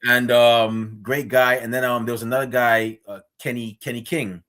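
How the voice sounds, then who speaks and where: A man from Guyana, hosting a casual interview and telling rambling, animated stories about meeting people. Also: medium pitch, normal speed. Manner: rambling, animated storytelling with acted quotes.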